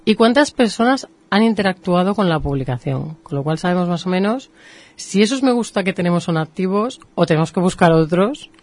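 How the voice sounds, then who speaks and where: A woman's voice: fairly low pitch, brisk. Spanish woman explaining marketing tips. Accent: Spanish from Spain. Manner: explains patiently, with lively examples. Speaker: marketing professional guest. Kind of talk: explanatory interview talk.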